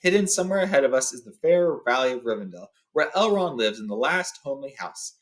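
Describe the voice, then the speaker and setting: A man's voice: medium pitch, very animated, quick pace, lightly acted. A man reading the part of a grave, wise old male wizard guide in a storybook, formal and instructive.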